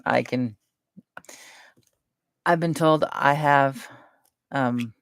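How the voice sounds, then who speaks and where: A soft-spoken woman chatting casually and rambling between topics on a live stream. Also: a bass voice, very slow, clean tone, no echo.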